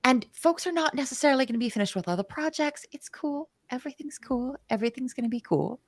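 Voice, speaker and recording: A woman's voice: sits high, animated, fast talker, no echo. A woman co-hosting a livestream, talking in a bubbly, playful way. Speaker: co-host. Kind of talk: casual livestream chat.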